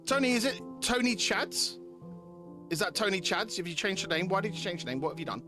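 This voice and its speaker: Higher-voiced man streaming over music. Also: animated, fairly quick. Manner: repeats phrases while addressing chatters. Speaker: live game streamer. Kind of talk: casual stream chat mixed with reading visual novel text aloud.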